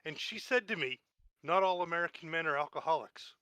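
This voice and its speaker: Male tabletop gamer, casual storyteller, strikingly sharp, bright tone, dry close sound. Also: fairly high pitch, animated, a little fast, smooth, no echo. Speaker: tabletop game player. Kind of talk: casual chat and anecdote.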